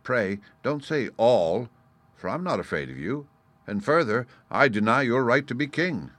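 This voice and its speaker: A tiny, boastful gnat from a storybook, played by a male reader. He sounds disdainful and self-important, with a bright, crisp attack in a very dry recording. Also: lowish voice, expressive, normal speed, no echo. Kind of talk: children's storybook character voice.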